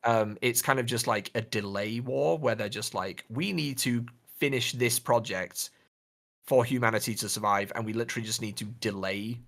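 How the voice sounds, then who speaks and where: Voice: mid-range voice, moderate pace, fairly bright tone. A podcast co-host chatting casually in a playful, rambling way. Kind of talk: casual chat.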